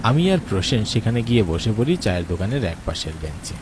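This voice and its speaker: A man's voice: on the low side, animated, quick pace, noisy background, lightly acted. A man playing a reflective narrator and wary friend, telling an atmospheric scripted ghost story. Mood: reflective, ominous. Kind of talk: atmospheric scripted horror storytelling with dramatised dialogue. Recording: rain and thunder behind the voice. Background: rain, thunder.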